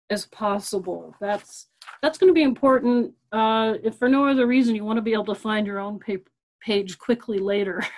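A woman's voice: mid-range voice, low-quality recording. Woman, middle-aged, librarian, webinar presenter, conversational, filler words, computer mic. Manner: conversational, repeats key points, many fillers and restarts.

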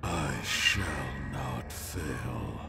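Very slow, deep, vengeful wraith. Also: male voice, vocal fry, over music, full character voice. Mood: cold, scornful. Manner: slow, ominous, theatrical declaiming. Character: vengeful male wraith, cold, grandiose, menacing spirit of a dead man. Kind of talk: fantasy audio drama acting.

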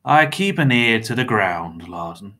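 Man playing a smug little villain, forcefully bright. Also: fairly low pitch, lively intonation, full character voice. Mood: smugly jovial. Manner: soft-spoken menace, drawn-out mocking politeness. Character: menacing little man, smug, falsely jovial, meddling villain.

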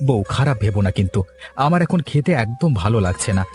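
Tired cartoon village husband male actor: quick, varied intonation, mild and coaxing, light music bed. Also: medium pitch, big pitch swings, a little mellow, lightly acted. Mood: weary, placating. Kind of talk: cartoon story dialogue.

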